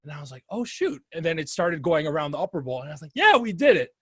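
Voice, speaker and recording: A young man hosting a podcast, telling a story in a lively way and acting out his own calls. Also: a little high, quick pace, breathy edge, dry recording. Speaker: podcast host. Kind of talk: casual podcast conversation.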